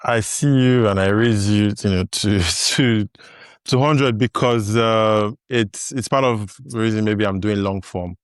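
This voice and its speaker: Low-voiced man hosting a podcast. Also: a little slow, clear, resonant tone, no echo, studio quality. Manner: thoughtful, lots of fillers and restarts, laughs easily. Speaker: podcast host. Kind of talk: casual conversation.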